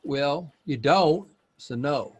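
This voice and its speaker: An actor playing an earnest, worried grown son in a naturalistic audio drama, halting and exasperated but affectionate. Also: male voice, on the high side, slightly rough. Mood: subdued. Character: earnest grown son, a newspaper writer, worried about his aging father. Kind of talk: naturalistic audio drama acting at a live reading. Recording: music behind a live stage reading.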